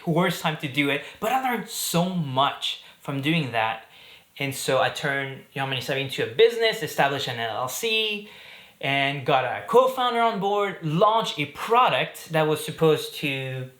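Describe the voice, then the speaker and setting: A man's voice: medium pitch, bright, forceful tone, reverberant. A man from Belgium in his thirties, hosting a casual talk to camera in an upbeat, chatty way. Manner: upbeat and chatty, with fillers and jokes. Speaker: host.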